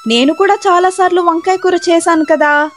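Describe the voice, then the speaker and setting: A woman voicing a curious, coaxing wife in an animated moral story, questioning and mildly reproachful. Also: very high voice, moderate pace, soft background music, voice pushed up.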